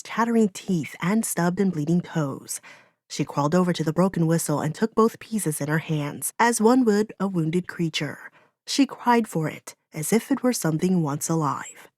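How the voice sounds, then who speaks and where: A woman's voice: lowish voice, expressive, moderate pace, darkish tone, no echo, studio quality. A woman reading a fantasy audiobook as narrator, in dramatic, solemn storytelling.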